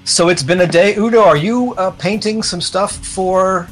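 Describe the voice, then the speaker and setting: A man's voice: sits high, normal speed. A man narrating a tabletop game as game master, improvising in a matter-of-fact, explanatory way. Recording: music playing under the voice. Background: plucked guitar music.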